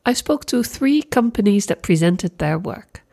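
A woman's voice: mid-range voice, expressive, unhurried, dark tone, breathy edge. Female host, mid-range voice, scripted narration and interview questions.

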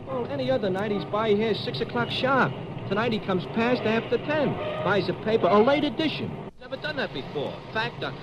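A young American paperboy in a vintage radio drama. His high voice bounces around with slangy, streetwise talk. There is noisy city street sound behind him. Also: expressive, fairly quick. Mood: puzzled, chatty. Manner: slangy, casual, hawking delivery. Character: street newsboy, streetwise and talkative young male. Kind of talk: 1950s radio drama acting.

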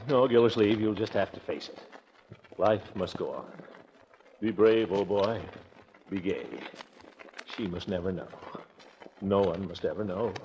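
A man's voice: sits low, measured pace, long pauses, a little mellow. A man playing a pompous, flirtatious middle-aged bachelor uncle in a blustery, theatrical 1940s radio comedy style. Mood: gloomy, resigned. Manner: blustery, theatrical, with sudden swoops. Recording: noisy, muffled 1940s radio recording.

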